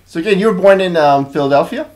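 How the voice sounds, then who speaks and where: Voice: medium pitch, lively intonation, quick pace, darkish tone. An interview host asking questions hesitantly, often restarting. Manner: hesitant, many fillers and restarts.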